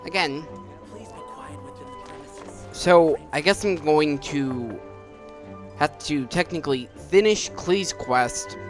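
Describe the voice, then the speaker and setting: A man giving casual commentary while he plays a video game, halting and repeating his words. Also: fairly high pitch. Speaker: gamer playing through a story quest. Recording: game music behind the voice.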